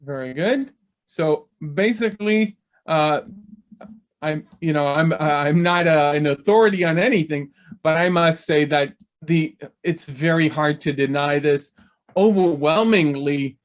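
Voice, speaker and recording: A man's voice: fairly high pitch, unhurried. A man hosting an interview, talking in a hesitant way with frequent fillers. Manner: hesitant, frequent "you know". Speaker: host. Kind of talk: conversational interview.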